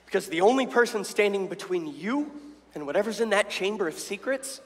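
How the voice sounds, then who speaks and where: A man playing an indignant, emotional teenage schoolboy in a comedic stage reading, with a loud, melodramatic delivery. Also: high-pitched, lively intonation, brisk. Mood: indignant, rousing. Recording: reverberant stage hall.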